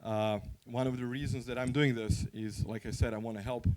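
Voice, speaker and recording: Male conference speaker, Croatian accent, mid-pitched voice. Candid, informal, anecdotal delivery, conversational throughout. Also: steady tone, fairly quick, darkish tone.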